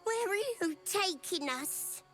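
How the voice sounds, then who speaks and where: Very high, tense frightened prisoner. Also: female voice, expressive, takes it slowly, a bit bright, soft background music, heavily acted. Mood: panicked, defiant. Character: frightened, defiant female prisoner. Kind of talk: video game dialogue acting.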